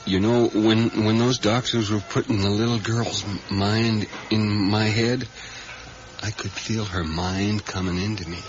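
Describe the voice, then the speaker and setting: A male actor plays a brooding, obsessive country diner worker in a 1980s radio drama. He speaks slowly and plainly, circling back over his words with uneasy intensity. The recording is muffled, with some background noise. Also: fairly low pitch, steady tone, close to an everyday voice. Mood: hushed, entranced. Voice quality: folksy, plain-spoken rural speech. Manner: hesitant, repetitive, intense storytelling.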